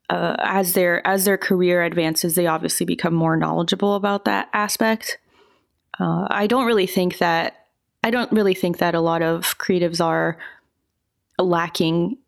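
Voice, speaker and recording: A woman giving relaxed, thoughtful answers as an interview guest, often hedging her points. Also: fairly low pitch, gravelly.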